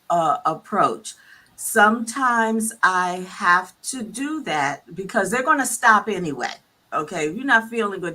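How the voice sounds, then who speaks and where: A woman physician speaks with a bright, forceful tone. She talks earnestly and emphatically, often closing a point with "okay?". The mood is a casual discussion. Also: lowish voice. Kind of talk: casual panel conversation.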